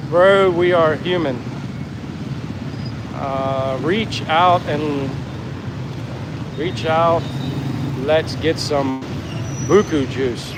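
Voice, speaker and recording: A man's voice: a little high, drawn-out words, pauses often. A man chatting casually on a walking livestream, reading out viewer comments and thanking people in a friendly, effusive way. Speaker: livestream host. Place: outdoors on the move, near traffic. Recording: clear outdoor traffic and engine noise.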